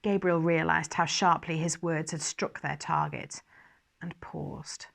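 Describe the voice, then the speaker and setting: A woman reading scripted first-person narration aloud in an expressive storytelling style. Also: low voice, a little slow, creaky. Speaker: story reader.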